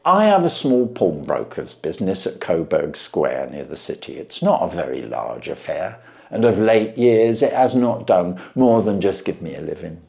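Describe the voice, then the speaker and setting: A man's voice: low voice, big pitch swings, normal speed, gravelly, darkish tone, heavily acted. A man voicing a simple, easily astonished pawnbroker in a storybook, blustering and plain-spoken. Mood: plain, explanatory. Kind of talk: storybook character voice.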